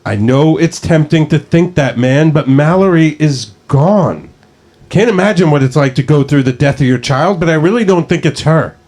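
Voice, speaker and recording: A man's voice: fairly high pitch, lively intonation, normal speed, darkish tone. An actor in a screenplay table read playing a level-headed, supportive male friend talking on the phone. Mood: concerned.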